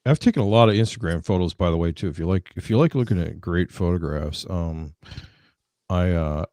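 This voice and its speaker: Deep-voiced man guesting on a live stream. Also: darkish tone, no echo, studio quality. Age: 40s. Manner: halting, many fillers and restarts. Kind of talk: casual live stream chat.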